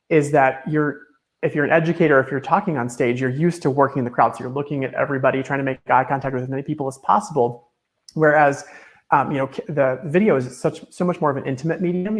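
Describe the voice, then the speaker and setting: A man giving casual advice as a guest expert on a video call, explaining in a relaxed way with lots of fillers. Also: mid-range voice.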